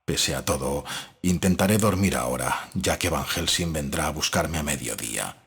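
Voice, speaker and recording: A man's voice: a bass voice, animated, moderate pace, a bit bright, husky. A man reading gothic fiction as an audiobook narrator, in a scripted, dramatic style. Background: faint music.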